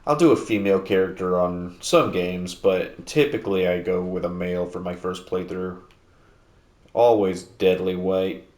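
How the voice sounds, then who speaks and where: Low-voiced man chatting far from the mic. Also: normal speed, reverberant. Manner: rambling, relaxed, many fillers and restarts; talking louder than normal to make up for mic distance. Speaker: gameplay video host. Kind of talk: casual gaming commentary. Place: in a house. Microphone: sitting at a distance from the mic.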